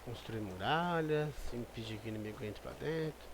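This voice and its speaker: A man's voice: medium pitch, fast talker, slight background noise. A man, streaming a game and making casual, offhand joking asides. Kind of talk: casual live gameplay commentary. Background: loud game music.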